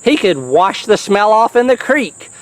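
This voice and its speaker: Children's storybook reader, lively swooping pitch, slow delivery, chatty asides and exclamations. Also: dry recording. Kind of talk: children's book reading with playful asides.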